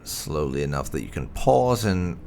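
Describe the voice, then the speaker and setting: A man hosting a gaming video, reading lore entries aloud in a theatrical, expressive way with occasional asides. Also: extremely low pitch, normal speed, vocal fry, a little hiss or hum.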